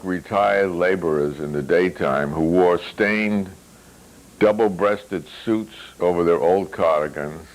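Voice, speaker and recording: Very low-voiced man reading fiction. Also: low-quality recording. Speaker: author reading their own novel. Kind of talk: literary reading with spoken asides.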